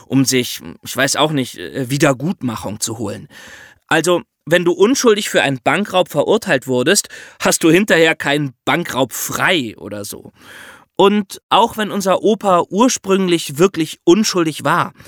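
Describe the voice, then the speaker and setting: A man's voice: a little high, fairly bright tone, no echo, clean studio sound. A man hosting a podcast, explaining things to his co-hosts in a conversational way with examples. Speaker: podcast host. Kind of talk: conversational true-crime explainer.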